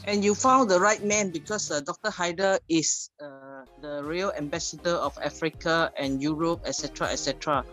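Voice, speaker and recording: A woman from Malaysia talking casually on a business panel, often pausing to search for her words. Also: low voice, a bit bright, soft background music, low-quality recording.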